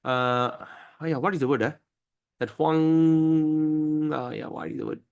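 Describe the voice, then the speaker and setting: A man's voice: a little high, steady tone, unhurried, a little mellow, clear, resonant tone, dull audio. A man teaching a scripture study class, emphatic and often asking his listeners "right?".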